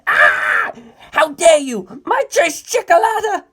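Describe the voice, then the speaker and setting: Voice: extremely high pitch, wildly swooping pitch, slow, pushed and bright, pitched above the performer's own voice, exaggerated voice. A narrator voicing a hot-tempered, foul-mouthed young male gangster who screams explosively. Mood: outraged. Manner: screaming, explosive, spitting out words.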